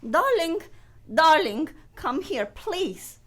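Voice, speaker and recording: A woman's voice: very high-pitched, big pitch swings, takes it slowly, a bit bright, heavily acted. A woman acting a dramatic kept mistress in a radio play, with stilted, formal phrasing. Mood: distraught. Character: dramatic, kept mistress with foreign formal speech, "Dragon Lady".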